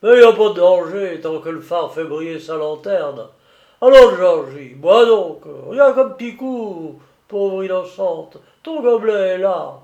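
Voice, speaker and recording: A man voicing a drunken, jovial, boastful lighthouse keeper in a dramatised audiobook, with loud, coarse, exclamatory seaman's talk. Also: high voice, animated, unhurried, reverberant, highs cut off, voice pushed up. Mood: tipsy, merry.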